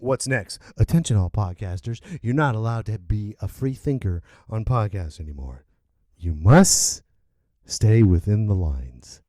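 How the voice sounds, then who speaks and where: Podcast host, male, animated rant voice, swings wide in pitch, close dry sound. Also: measured pace, no echo. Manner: loud, animated ranting with sudden yells. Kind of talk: opinion rant.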